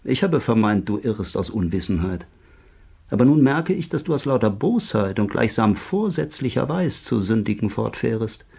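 An actor playing a stern, reproachful army chaplain in a German radio drama, preaching in archaic words with a gravelly voice. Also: male voice, fairly low pitch, big pitch swings, normal speed, darkish tone, exaggerated voice. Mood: stern, indignant. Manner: preaching, declamatory.

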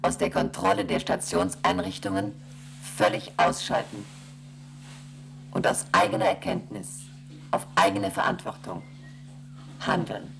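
Super low gravelly station computer, flat and robotic, reads out rules, faint hum behind. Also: female voice, measured pace, long pauses, heavily acted. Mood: flat, mechanical. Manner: flat machine-like recitation of rules and figures. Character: cold female station computer, monotone and rule-bound. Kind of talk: radio play acting.

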